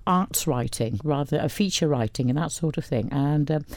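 Older woman from Wales, radio guest, mellow and dry-sounding, chatty and rambling. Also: sits low, moderate pace, no echo. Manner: chatty, rambling with many restarts. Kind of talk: casual interview chat.

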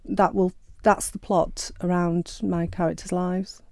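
Warm-voiced woman, chatty author. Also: fairly low pitch, level tone, unhurried, dry recording, clean studio sound. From Sheffield, England. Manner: chatty, hesitant with many ums and restarts. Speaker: author guest. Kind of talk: radio interview.

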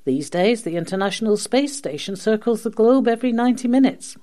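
A woman's voice: fairly high pitch, animated, a little fast, mellow. A woman presenting a scripted radio magazine programme.

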